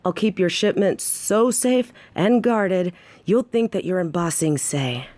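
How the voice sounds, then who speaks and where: A woman's voice: on the low side, expressive, measured pace, a little mellow, dry recording. A woman playing a loyal, confident female gangster in an audio drama, speaking with formal courtesy and eager devotion. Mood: confident, assuring. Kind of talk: scripted audio roleplay acting.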